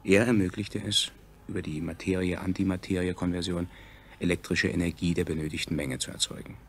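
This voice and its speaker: Very deep, gravelly male chronicler. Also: fairly even intonation, brisk, a little hiss or hum. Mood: factual, grave. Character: solemn chronicler of a subjugated future people. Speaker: narrator. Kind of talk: scripted radio drama narration.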